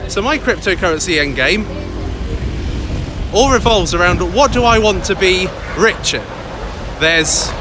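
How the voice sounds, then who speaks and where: An English man in a loud, chatty, upbeat manner, vlogging live from a busy city street into a handheld phone. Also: high voice. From England. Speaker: crypto vlogger. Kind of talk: casual live vlog. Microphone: phone held by hand, close. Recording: outdoor street noise. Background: city traffic and passers-by.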